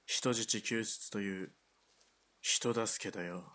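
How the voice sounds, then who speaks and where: An actor playing a calculating middle-aged lieutenant colonel in a radio drama, with measured, condescending speech. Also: male voice, on the low side, fairly bright tone. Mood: measured, self-justifying.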